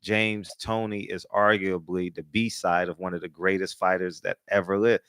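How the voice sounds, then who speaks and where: A man hosting a casual talk show, laid-back, his volume swinging between loud and soft. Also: low voice, level tone, moderate pace, clear, resonant tone, no echo. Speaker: host.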